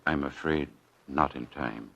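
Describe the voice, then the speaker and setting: A somber hospital doctor in a vintage radio play. His voice is extremely deep and measured, full of regret, on a dull old recording. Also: gravelly, a bit bright, full character voice. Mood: grave. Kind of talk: 1940s radio drama acting.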